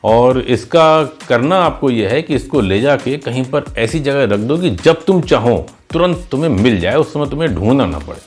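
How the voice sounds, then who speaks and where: A man voicing a wise, kindly old village woman who gives patient, gentle instruction in a moral story. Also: medium pitch, expressive, moderate pace, few pauses, soft background music, lightly acted. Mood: instructive. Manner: patient, instructive, addresses listener as child.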